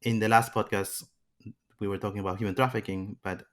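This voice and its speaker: A man's voice: fairly low pitch, steady tone, fairly quick. Rambling male podcast host. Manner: rambling, many restarts and fillers. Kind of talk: casual podcast chat.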